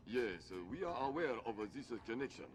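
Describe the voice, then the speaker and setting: An actor playing a grave admiral who speaks in a halting way, full of pauses. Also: male voice, medium pitch, big pitch swings, natural voice. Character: hesitant grave male admiral. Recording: game cutscene with music underneath.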